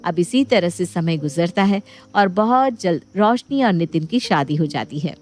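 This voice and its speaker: A woman's voice: fairly low pitch, animated, normal speed, darkish tone. A woman storyteller reading scripted narration for a Hindi moral bedtime story. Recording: music bed behind the voice.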